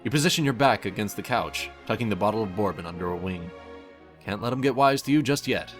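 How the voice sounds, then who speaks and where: A man's voice: on the low side, expressive, fast talker, soft background music. A man reading scripted second-person fiction as the narrator of a story.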